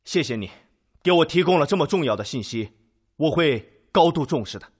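An actor playing a steady, authoritative Red Army commander with oratorical, commanding delivery in a radio drama. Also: male voice, on the high side, fairly quick, husky. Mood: calm, reassuring. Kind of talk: Chinese radio drama acting.

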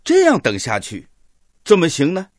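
An actor playing a stern Soviet team leader in a Chinese radio drama, formal, deliberate and emphatic. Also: male voice, on the high side, huge pitch swings, dry recording, studio quality. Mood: impatient, worried. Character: male Soviet expert team leader, stern, measured, impatient.

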